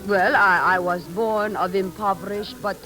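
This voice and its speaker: An elegant countess who is secretly a spy, in a vintage radio drama. Her delivery is arch and flirtatious at a middling pitch, with music clearly playing behind her. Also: steady tone, unhurried, pushed and bright, low-quality recording. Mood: playful, teasing. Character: worldly, teasing female spy posing as countess. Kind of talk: 1940s-50s American radio drama acting.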